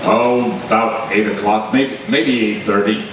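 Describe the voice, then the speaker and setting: A man's voice: fairly low pitch, fairly even intonation, measured pace, close to an everyday voice. Man playing a blustering newspaper chief in echoey hall. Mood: gruff, uneasy. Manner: barking, exasperated, bossy. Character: blustering, short-tempered male newspaper chief editor. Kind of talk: old-time radio drama acting. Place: live stage recreation in a large hall. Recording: echoey hall from far off, noisy.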